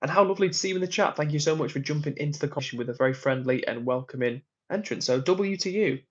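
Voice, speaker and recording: A man's voice: fairly high pitch, lively intonation, very fast, lo-fi sound. A man hosting a casual livestream from a hotel room, reading out viewer comments in a friendly, rambling way. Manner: chatty, rambling, friendly to chat. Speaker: livestream host.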